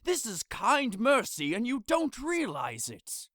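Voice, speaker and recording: A man's voice: very high-pitched, wildly swooping pitch, slow pace, a bit bright, no room sound, studio quality, full character voice. A man playing an exasperated, scolding priest in a modern-language Shakespeare audio drama, speaking emphatically. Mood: stern, reasoning.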